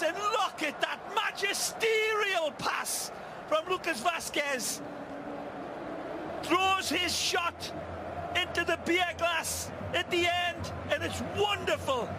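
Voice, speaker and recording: A man's voice: very high-pitched, background noise. A man giving color commentary on a football match, full of folksy wisecracks and playful metaphors. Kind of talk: sports commentary.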